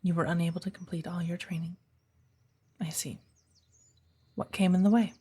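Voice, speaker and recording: A woman playing a stern, patient witch who teaches an apprentice in a soft, breathy ASMR roleplay, speaking in a measured, formal, commanding way. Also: lowish voice, steady tone. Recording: birdsong behind the voice. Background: birdsong, woodland ambience.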